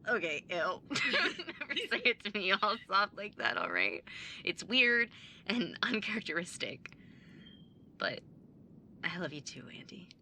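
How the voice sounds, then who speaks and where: A woman's voice: medium pitch, sing-song, measured pace, pushed and bright, a little hiss or hum, heavily acted. A woman playing a guarded, blunt but forgiving younger sibling in a naturalistic audio drama. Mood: wry, affectionate.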